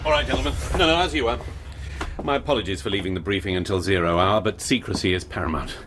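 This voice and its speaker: An actor playing a shrewd, manipulative British army major in a radio drama, with an English accent and a measured, understated delivery. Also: male voice, fairly low pitch, background noise, natural voice. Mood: commanding.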